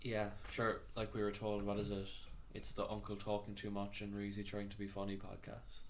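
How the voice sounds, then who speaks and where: A young man with a lowish voice banters casually as a podcast co-host. He is dry and sarcastic, dropping teasing remarks in an offhand conversational way. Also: monotone, moderate pace, vocal fry.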